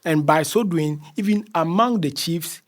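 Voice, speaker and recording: Halting Ghanaian man explaining in an interview. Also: a little high. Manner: halting, repeats phrases while searching for words. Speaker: interview guest.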